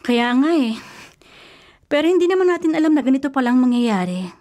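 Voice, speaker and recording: An actress playing a stubborn, superstitious elder sister, insistent and fretful, in a radio drama. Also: female voice, sits high, big pitch swings, brisk, a little mellow. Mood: pleading, defensive. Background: faint music.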